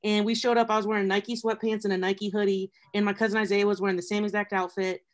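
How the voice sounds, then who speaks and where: A man with a notably high voice runs the conversation, jumping in with questions and stories of his own. He talks in an easy, friendly way, often with fillers. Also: level tone, fast. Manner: chatty, eager interviewer. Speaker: host. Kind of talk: casual interview chat.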